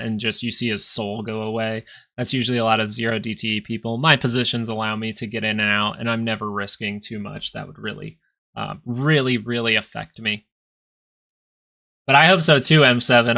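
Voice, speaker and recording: A man's voice: medium pitch, bright, forceful tone. A young man with a nasal voice hosting a live stock market stream, thinking aloud in casual, rambling talk with frequent restarts. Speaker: stock market livestream host. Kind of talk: live market commentary and chat with viewers.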